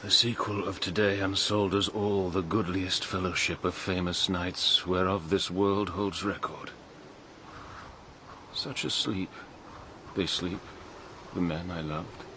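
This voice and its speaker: A noble king, mortally wounded and full of grief, in a classical radio drama. He declaims verse very slowly and solemnly with a forceful, ringing edge. Some background noise sits behind the voice. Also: low voice, fairly even intonation, full character voice. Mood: weary, grieving.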